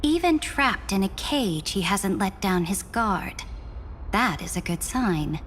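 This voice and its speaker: An actress playing a proud, resolute female monarch in a game, speaking in a measured, commanding way. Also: mid-range voice, very animated, fairly bright tone, slight background noise. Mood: regal. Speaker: game character. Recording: game cutscene with music underneath.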